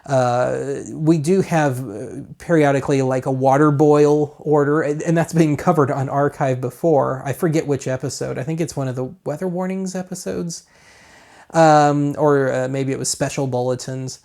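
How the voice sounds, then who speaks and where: A man's voice: medium pitch, normal speed, vocal fry, darkish tone. An American man hosting a casual gadget walkthrough in a rambling, colloquial, self-deprecating way. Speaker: host of a gadget review show.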